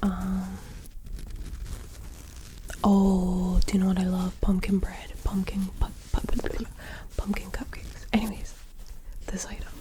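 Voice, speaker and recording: A woman rambling in a half-whispered, soft-spoken ASMR style, breathy and unhurried, talking close to a sensitive mic. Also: mid-range voice, completely flat delivery, noisy background. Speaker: ASMR creator.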